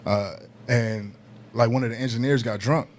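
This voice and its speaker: A man with a deeper voice telling stories in casual podcast talk, laid-back and peppered with "you know what I mean". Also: steady tone, normal speed, slight background noise. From Southern California. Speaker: guest hip hop artist.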